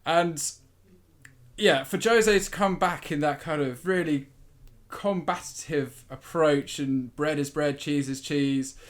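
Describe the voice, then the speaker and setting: A man with a slightly higher than average voice talks football as a podcast guest. He offers his opinions in an informal, conversational style. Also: slow pace. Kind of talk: casual football chat.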